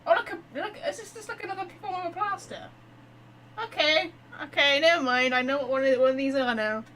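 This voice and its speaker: A woman's voice: sits high, brisk, pushed and bright. A woman streaming a game, chatting casually and reacting aloud as she plays. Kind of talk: casual gameplay commentary. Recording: faint background noise.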